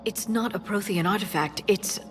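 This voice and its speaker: A woman's voice: medium pitch, moderate pace, noisy background, close to an everyday voice. A woman voicing an earnest, scholarly alien scientist in a video game, explaining things carefully. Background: background music.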